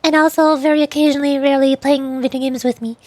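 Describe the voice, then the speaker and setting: A woman streamer chatting casually while gaming, in a halting way that often repeats words. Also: sits high, fairly even intonation, darkish tone, no echo. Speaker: VTuber streamer.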